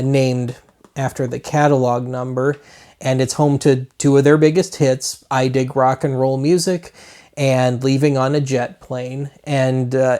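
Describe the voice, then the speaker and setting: A man's voice: medium pitch, darkish tone. A man hosting a retro media web show, talking casually in a chatty, rambling way with wry asides.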